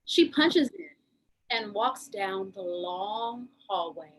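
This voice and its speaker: A woman's voice: medium pitch, lively intonation, slow, a bit bright. A woman reading dramatic scripted narration for a stage piece. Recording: noisy room ambience.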